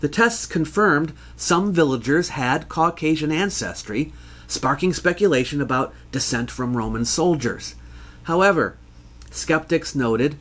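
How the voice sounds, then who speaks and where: A man giving scripted educational narration in a measured, formal way with precise enunciation, as the host of a history lesson. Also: medium pitch, fairly bright tone. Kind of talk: scripted educational narration answering listener questions.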